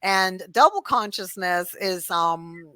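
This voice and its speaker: A fairly low-pitched, middle-aged woman with a bright, forceful tone, talking casually as an interview guest. She laughs often and restarts her sentences as she goes. The recording is dry and close, with no room sound. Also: lively intonation, takes it slowly, no room sound. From Barbados, raised in Toronto. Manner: chatty, laughs often, many restarts and fillers. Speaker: guest, cultural sensitivity trainer and singer.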